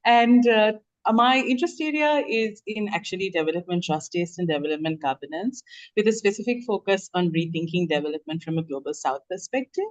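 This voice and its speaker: A woman with a Sri Lankan accent, a law lecturer introducing herself on a panel, polished and animated. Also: on the low side, a little fast.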